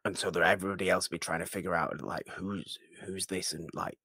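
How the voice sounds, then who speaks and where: A man's voice: low voice, level tone, fast, pushed and bright, slightly rough, dry recording, studio quality. A man co-hosting a casual film discussion, rambling a little and searching for words as he goes. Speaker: podcast co-host discussing films.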